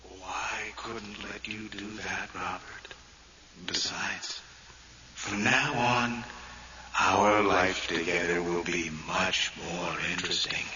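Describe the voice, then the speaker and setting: An actor playing the mocking, sinister spirit of a dead twin brother in a radio horror drama, whispery and drawn-out. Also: male voice, low-pitched, fairly even intonation, bright, forceful tone, roomy sound, heavily acted. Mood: gloating, menacing. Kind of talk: vintage-style radio horror acting. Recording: noisy, muffled vintage radio drama tape.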